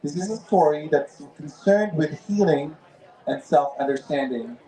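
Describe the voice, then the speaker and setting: A man's voice: a little high, a little slow, dark tone, roomy sound, poor audio. A man reading a formal introduction of an author at a book fair booth. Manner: formal announcer delivery. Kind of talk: read introduction.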